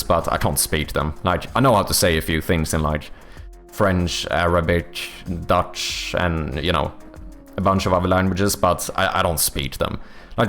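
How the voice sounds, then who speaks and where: A Swedish man, a native Swedish speaker, chatting casually on a livestream and thinking out loud, with many fillers and restarts. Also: deep voice. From Sweden. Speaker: game developer streamer. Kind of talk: casual livestream chat answering viewers.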